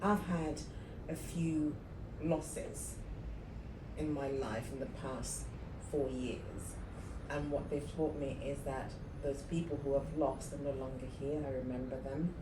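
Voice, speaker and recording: A middle-aged woman with a deep, low voice talks casually with a co-host, telling stories and acting out her thoughts with lively energy. The recording has a roomy echo and clear background noise. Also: unhurried, far from the mic. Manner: animated storyteller, acts out her own thoughts. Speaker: podcast co-host. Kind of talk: casual conversation. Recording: echoey room with noticeable noise.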